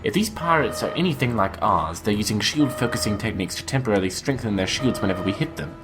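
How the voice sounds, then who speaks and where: An analytical starship first officer with a fairly low voice, acted by a man. He explains things skeptically, in sci-fi audio drama fashion. Music plays strongly behind him. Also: expressive, fast, natural voice. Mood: focused, explanatory. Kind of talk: fan audio drama acting.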